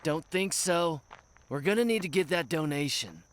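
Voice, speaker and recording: A man playing a pushy young thug who demands money, loud, brash and crude. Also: fairly high pitch, animated, moderate pace, slight background noise. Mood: swaggering.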